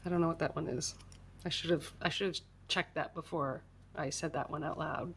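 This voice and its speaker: A woman's voice: low voice, fairly even intonation, fairly quick, a bit bright, slight background noise. An American woman hosting a crafting livestream, chatting casually and self-deprecatingly while she works, laughing often and a little congested. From United States.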